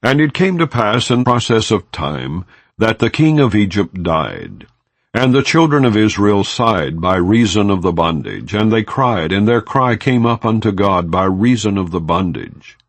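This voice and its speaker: A man with a low, deep voice reads scripture as an audiobook narrator. His delivery is solemn and measured, with wide rises and falls in pitch. The sound is dry, with no room echo. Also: sing-song. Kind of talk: non-dramatized scripture reading, scripted narration.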